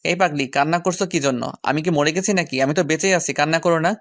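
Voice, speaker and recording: A man playing a gentle, caring young man in love in an audio story. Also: on the high side, fast talker, no room sound, clean studio sound. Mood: tender, reassuring. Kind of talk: audio story character acting.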